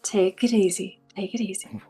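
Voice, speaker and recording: A woman acting as an eerily composed, menacing interrogator in a script table read, speaking formally and deliberately. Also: mid-range voice, steady tone, dark tone, breathy edge. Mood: eerily calm. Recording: music playing behind the voice.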